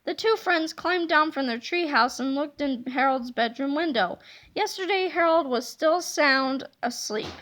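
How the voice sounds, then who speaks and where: A woman reading a children's chapter book aloud with expressive storytelling. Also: high voice, unhurried. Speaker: read-aloud narrator.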